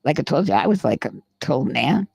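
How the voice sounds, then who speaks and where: A man's voice: mid-range voice, very animated, fairly quick, creaky, darkish tone, breathy edge, no echo. A man co-hosting a podcast, telling a long rambling anecdote in casual chat and swearing freely. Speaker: podcast co-host. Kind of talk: casual storytelling.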